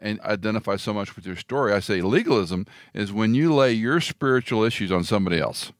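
A man's voice: lowish voice, expressive, fairly quick, dry recording, studio quality. A man hosting a theology talk show, speaking emphatically and building his points with rhetorical repetition. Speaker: radio talk show host. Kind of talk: conversational theology discussion.

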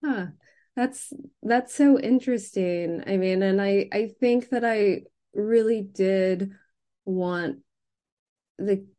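Woman novelist talking slowly and haltingly. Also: mid-range voice, warm tone. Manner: halting, circling thoughts. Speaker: interviewed novelist. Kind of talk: casual reflective interview conversation.

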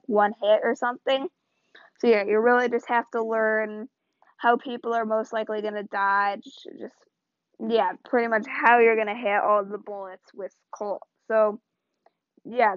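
A woman's voice: a little high, dry recording. Woman with a tired, strained voice. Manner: rambling, loose and chatty. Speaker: podcast host. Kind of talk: casual solo podcast talk. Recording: dull lo-fi, dry sound.

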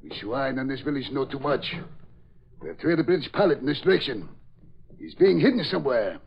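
A man's voice: mid-range voice, big pitch swings, brisk, creaky, slight background noise. A man playing a menacing, contemptuous German army captain, barking orders in old radio drama style. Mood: threatening. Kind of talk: 1940s radio acting. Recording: muffled old radio recording.

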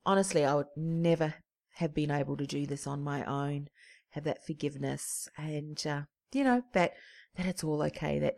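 Low, gravelly woman, earnest. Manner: earnest and heartfelt, with soft pauses. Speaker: podcast host. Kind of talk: personal faith story.

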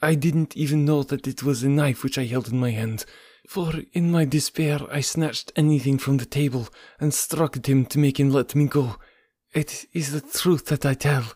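A man doing a proud foreign woman, high and husky. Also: moderate pace, darkish tone, no room sound, studio quality, natural voice. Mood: confessing. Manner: dignified, solemn confession.

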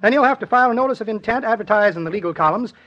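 A quick, high-pitched American city bureaucrat in a 1950s radio comedy. He is officious, pedantic and insistent, piling up rules in a fussy way. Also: animated, fairly bright tone, dull audio.

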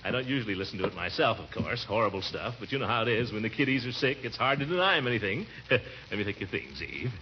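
A man acts out a pompous American uncle from a classic radio sitcom. His pitch swoops widely as he blusters through theatrical exclamations. The old recording is dull, with a faint hiss of noise. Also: expressive, fast talker, close to an everyday voice. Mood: genial, hearty. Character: blustering, pompous middle-aged uncle and guardian. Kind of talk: 1940s-50s radio comedy acting.

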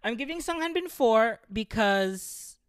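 A woman's voice: on the high side, animated, measured pace, clean tone, dry recording, studio quality. A woman co-hosting a casual chat show, dramatic and jokey, often breaking into mock sobbing. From Philippines. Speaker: podcast co-host.

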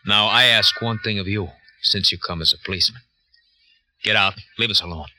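An actor playing a proud, hostile grieving brother, speaking with cold formality in an old radio crime drama. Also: male voice, fairly low pitch, fairly quick, heavy background noise, natural voice. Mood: bitter, hostile.

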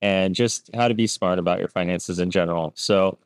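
A man's voice: low-pitched, steady tone, fairly quick, smooth, no room sound. A man hosting a casual podcast, introducing his guest and asking friendly questions with a few fillers. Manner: friendly, short prompts, fillers. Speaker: podcast host.

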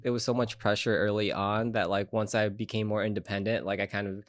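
A man from Ecuador, a podcast guest, chatting casually in a relaxed, rambling way with lots of fillers. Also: fairly low pitch, a little fast, no room sound. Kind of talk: casual chat. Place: podcast studio.

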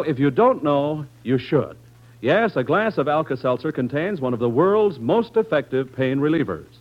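A male radio announcer delivers a scripted sales pitch in a vintage style. His mid-pitched voice swings in lively intonation as he addresses the listeners directly. The sound is dull and lo-fi, like an old broadcast. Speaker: commercial announcer.

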